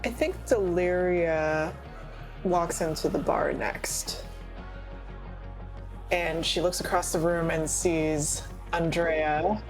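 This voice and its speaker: A woman's voice: lowish voice, fairly even intonation, slow, soft background music, poor audio. A woman improvising casually as a player in a tabletop roleplaying game.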